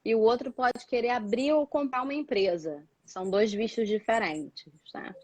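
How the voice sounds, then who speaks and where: A woman's voice: a little high, normal speed, darkish tone, dry recording. A Brazilian woman chatting casually and explaining things as a guest, repeating words for emphasis. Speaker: guest, doctoral student living in Portugal.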